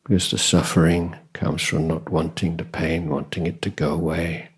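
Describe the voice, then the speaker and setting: A man giving guided meditation instruction as a Buddhist teacher, calm and measured, with long pauses and a husky, breathy voice. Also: deep voice. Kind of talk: guided meditation dharma talk.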